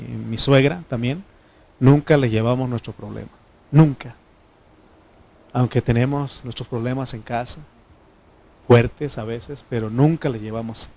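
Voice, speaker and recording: A middle-aged man from Mexico with a Mexican Spanish accent, whose first language is Triqui, preaching a folksy sermon and asking the congregation for responses. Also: medium pitch. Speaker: pastor.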